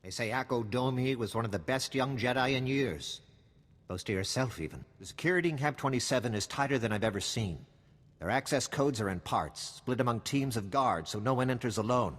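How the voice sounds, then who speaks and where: A man's voice: medium pitch, lively intonation, a little fast, long reverb tail, lightly acted. A man voicing a weary, wry intelligence officer in a video game, briefing in a matter-of-fact, conspiratorial way. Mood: grave, urgent.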